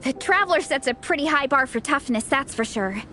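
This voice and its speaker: An actress playing an upbeat, encouraging young athletic heroine in an anime video game, speaking in a cheerful, earnest way. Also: female voice, high voice, expressive, fairly quick, sharp tone, soft background music.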